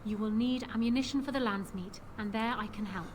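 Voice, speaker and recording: Regal young queen, played by a woman, highish voice, formal, resolute, calculating, fantasy game, noisy background. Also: fairly quick, a little mellow, close to an everyday voice. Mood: grave, determined. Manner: formal, composed, assertive. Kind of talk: dramatic fantasy video game acting. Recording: game audio with clear background noise.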